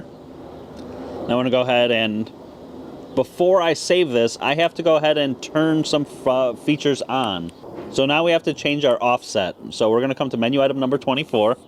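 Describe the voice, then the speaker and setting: Man, clear background noise. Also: mid-range voice. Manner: plain-spoken, explanatory. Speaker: ham radio video host. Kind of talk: casual step-by-step product tutorial.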